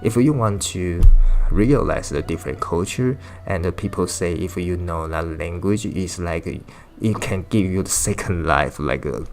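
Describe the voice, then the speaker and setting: Deep gravelly man, music underneath. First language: Chinese. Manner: halting, with frequent "uh" and restarts. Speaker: Chinese language teacher. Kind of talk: casual talking-head explainer.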